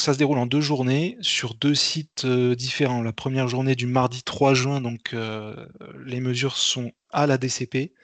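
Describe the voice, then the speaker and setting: A man presenting an informal meeting briefing as an event organizer, speaking hesitantly and haltingly with frequent repeats. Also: mid-range voice, no room sound.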